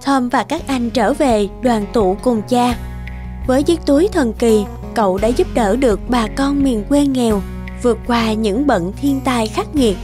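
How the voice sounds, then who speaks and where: Nữ kể chuyện cổ tích, giọng khá cao. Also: female voice, a little high, a little slow. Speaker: narrator. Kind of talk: scripted fairy-tale narration. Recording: music under the voice. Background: background music.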